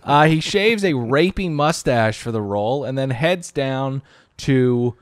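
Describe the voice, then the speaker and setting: A man in his thirties recapping a reality show with sardonic, crude humor. His pitch swings widely as he tells the story. The sound is close and dry on a studio boom mic. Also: expressive, measured pace, dry recording. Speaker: podcast host. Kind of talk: casual comedic recap chat. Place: small living-room podcast studio. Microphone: studio mic on boom arm, headphones.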